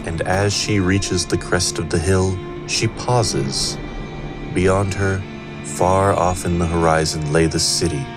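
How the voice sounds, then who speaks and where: A man playing a solemn storyteller, narrating a fantasy drama in a measured, portentous manner over music. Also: deep voice, steady tone. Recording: prominent music under the voice.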